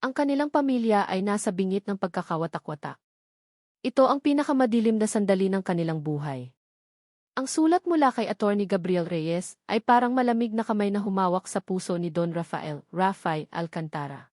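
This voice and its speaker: Woman, Filipino accent, medium pitch, dramatic scripted storytelling, close dry recording. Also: animated, fairly quick, darkish tone, dry recording. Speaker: story narrator.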